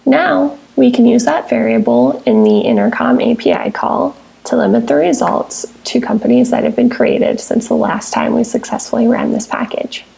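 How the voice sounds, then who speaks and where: A woman with a somewhat high-pitched yet mellow voice walks through software steps as a tutorial presenter. Her tone is heavily creaky, and she reads in a scripted, instructional way with measured phrasing. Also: very animated, poor audio. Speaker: software tutorial presenter, technical support engineer. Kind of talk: scripted step-by-step screencast narration.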